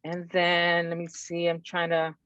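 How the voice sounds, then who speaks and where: A woman's voice: lowish voice, monotone, measured pace, clean tone, no echo. Salvadoran-accented woman hosting over dull dry audio. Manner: casual, warm banter mixing in Spanish phrases. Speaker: event host. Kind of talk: introducing a performer. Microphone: video call.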